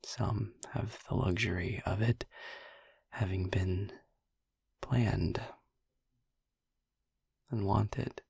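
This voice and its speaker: A man playing a brooding, tender vampire boyfriend in an intimate roleplay audio drama, speaking softly and haltingly in a breathy voice that often nears a whisper. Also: fairly low pitch, fairly even intonation, no room sound, heavily acted. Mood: somber, reflective. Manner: halting, soft, hesitant, with long pauses. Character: brooding, tender male vampire boyfriend, haunted by his turning.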